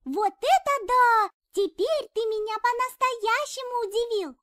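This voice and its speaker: Squeaky childlike cartoon police car. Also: female voice, measured pace, fairly bright tone, dry recording, studio quality. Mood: amazed. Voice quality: very high, childlike. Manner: exclamatory, simple children's-show delivery. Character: cheerful young female talking police car.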